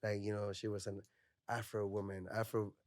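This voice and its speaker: A young adult man from Puerto Rico, a counselor speaking as a podcast guest in candid conversation, reflective and soft-spoken, peppering his talk with "like" and "you know". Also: on the low side, little pitch movement, moderate pace, dry recording, clean studio sound.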